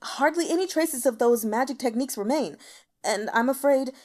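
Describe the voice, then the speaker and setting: A woman's voice: high-pitched, expressive, normal speed, darkish tone, pitched above the performer's own voice. A woman playing a timid, fearful elder male court healer who speaks formally and hesitantly. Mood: panicked. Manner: nervous, hesitant, stammering, formal.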